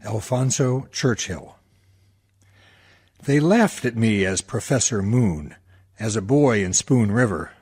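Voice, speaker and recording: A man playing a proud, mocked small-town professor, declaiming earnestly in a husky voice in a radio play. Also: lowish voice, a little mellow. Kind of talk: dramatic monologue for radio play.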